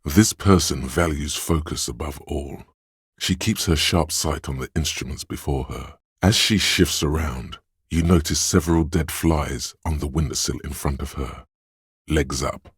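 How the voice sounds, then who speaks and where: A deep husky inner sense of perception. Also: male voice, no room sound, studio quality, exaggerated voice. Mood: observant. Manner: measured, observant, dryly descriptive. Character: wry watchful male inner sense of perception. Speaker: voice of a detective's perception skill. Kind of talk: scripted game voice lines.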